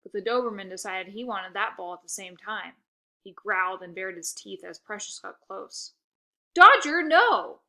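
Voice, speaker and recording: A woman reading a children's book aloud in an expressive narrating style. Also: mid-range voice, moderate pace. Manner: expressive, occasional stumbles over words. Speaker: storybook reader.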